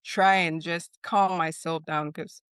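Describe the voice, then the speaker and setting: A woman's voice: fairly low pitch, steady tone, slow pace, a bit bright, clean tone, no room sound, studio quality. A woman from Nigeria, a webinar panelist earnestly sharing exam tips in casual discussion.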